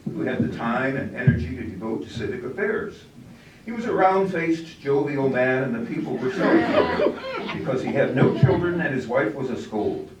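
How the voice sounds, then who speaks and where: A man narrating a staged reading of a village story in a measured storytelling style. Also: medium pitch, close to an everyday voice. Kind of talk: scripted narration in readers theater. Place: live play in a public library room. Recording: echoey room, distant mic, background noise.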